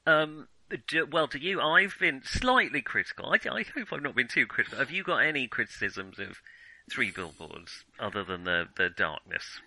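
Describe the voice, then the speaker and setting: A man's voice: medium pitch, sing-song, normal speed, bright, forceful tone, no echo, poor audio. A man co-hosting a casual film discussion, talking in a hesitant, rambling way and often correcting himself. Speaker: podcast co-host discussing films.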